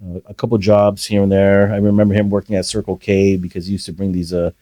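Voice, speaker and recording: A man guest on a podcast, telling his childhood story in a soft, hesitant way with many pauses. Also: low-pitched, flat delivery, no echo, clean studio sound. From Philippines. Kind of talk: reflective personal storytelling.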